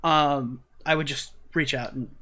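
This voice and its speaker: A man's voice: a little high, steady tone, a little slow, pushed and bright. A man talking casually on a recorded voice call, in loose slangy phrasing with swearing. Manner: hedging slangy talk, swears freely. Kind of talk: casual, incredulous questioning. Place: online voice call.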